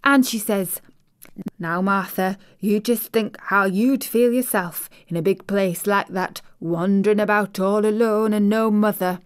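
A woman's voice: mid-range voice, measured pace, clean tone, natural voice. A woman voicing a cheerful, chatty Yorkshire servant girl in broad dialect, for an audiobook. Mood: earnest. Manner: broad dialect, lively and excited.

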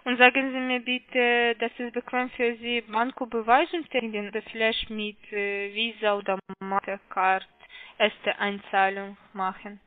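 A woman call-centre caller with a foreign accent in non-native speech, giving halting, insistent instructions and repeating her questions over a muffled phone line. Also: fairly high pitch, flat delivery, dry recording. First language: not German. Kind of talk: scripted phone sales instructions.

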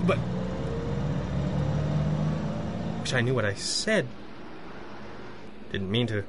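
An actor playing a wealthy young gentleman crimefighter in a 1930s-style radio adventure, clipped and commanding with dry sarcasm. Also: medium pitch, very animated, unhurried. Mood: puzzled. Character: wealthy young gentleman secretly a masked crimefighter, urgent, exasperated. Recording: clear car and street noise.

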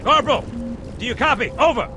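An actor playing a gruff, hard-bitten marine sergeant in a video game, commanding and sardonic. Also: male voice, very high-pitched, brisk, noisy background. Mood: angry, commanding. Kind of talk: video game cutscene acting.

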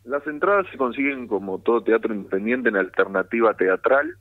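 A young Argentine man with a Rioplatense accent, an actor talking earnestly in a casual phone interview, pausing often to search for words. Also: fairly low pitch, animated, dry recording. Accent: Rioplatense Spanish. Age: young adult. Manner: earnest, thoughtful, many hesitations. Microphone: phone line. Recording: dry, tinny phone line.

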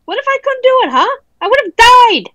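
A woman's voice: very high-pitched, sing-song, a little fast, bright, forceful tone, no room sound. A woman on a video call reading a teenage girl's lines casually and with indignation. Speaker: table read participant voicing a teenage girl. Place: indoors at home on a group video call. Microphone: video call microphone.

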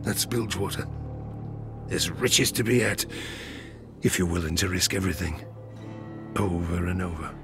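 An actor playing a rueful, ruined old harpooner and gambler with a British accent, spinning a folksy, gravelly-voiced yarn in an audio drama. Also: male voice, deep voice, normal speed, long pauses. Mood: haunted, regretful. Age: older. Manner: folksy, rustic, rambling tavern yarn-spinning. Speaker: storyteller. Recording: studio drama with music behind. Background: music.

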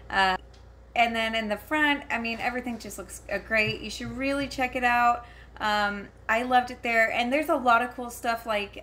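Bright-voiced woman rambling about a con. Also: on the high side, slight background noise. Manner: rambling, many fillers and restarts, giggly asides. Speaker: vlogger reviewing a convention. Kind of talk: casual talking-to-camera chat. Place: home, her daughter heard elsewhere in the house.

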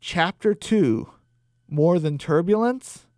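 A man's voice: high voice, lively intonation, slow pace, a little mellow, dry recording, clean studio sound. A man narrating a scripted comic story as the storyteller of an audio drama. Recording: faint background noise.

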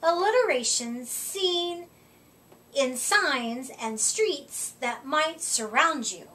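High, lively woman reading to children. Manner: deliberate, expressive emphasis on rhyme and sounds. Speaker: children's storytime reader. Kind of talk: picture-book read-aloud for children. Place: indoor room, seated before a curtain. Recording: voice distant from the microphone.

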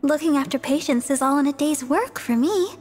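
A woman's voice: high voice, brisk, darkish tone, natural voice. A woman voicing a sweet, caring young church healer in an anime video game, earnest in manner. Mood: kindly.

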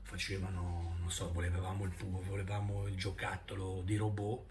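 A man's voice: low-pitched, monotone, normal speed. A man, rambling casually in a vlog shot on a phone at arm's length. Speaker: video blogger. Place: indoor room. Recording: noisy phone video played back.

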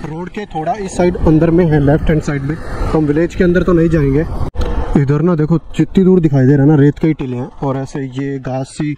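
A man giving a relaxed, casual running commentary while riding a motorcycle on rural roads. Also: fairly high pitch, brisk. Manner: chatty, relaxed, friendly with locals. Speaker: motorcycle travel vlogger. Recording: muffled mic under loud road and wind noise.

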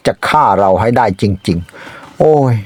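A man voicing a foolish, fearful tiger in an animal folk tale, moaning in drawn-out exclamations. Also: on the low side, lively intonation, no echo. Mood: fretful. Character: foolish, fearful tiger, wailing and easily tricked.

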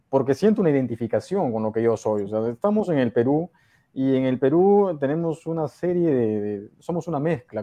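Young Peruvian man, medium pitch. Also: dark tone. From northern coast of Peru. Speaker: guest poet. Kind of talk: casual interview chat. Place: indoor room with bookshelves, on a video call.

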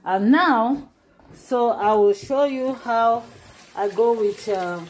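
A woman's voice: on the high side, takes it slowly, darkish tone, a little hiss or hum, poor audio. Middle-aged woman, cooking vlog host, talks casually through steps in her kitchen on a phone camera. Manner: chatty, explains step by step with many fillers. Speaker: cooking vlogger. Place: home kitchen.

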